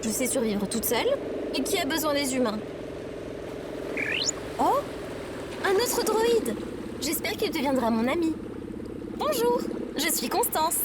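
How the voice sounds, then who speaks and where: A woman's voice: high voice, big pitch swings, unhurried, long pauses, heavy background noise. A woman playing an earnest, curious and naive female AI droid in an audio drama. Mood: indignant.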